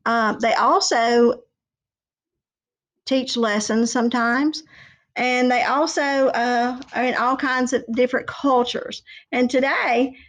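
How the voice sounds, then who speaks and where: A woman speaks as a teacher to young children, explaining and storytelling in simple words. Her voice sits a little high and she goes very slowly and deliberately. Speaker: teacher telling a folk tale to children. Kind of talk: children's lesson and oral storytelling.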